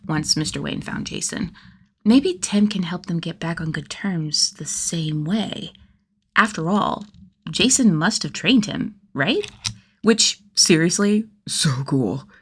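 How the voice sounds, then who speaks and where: Female narrator for fan fiction audiobook: lowish pitch, expressive and conversational read with youthful enthusiasm. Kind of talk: animated scripted story narration.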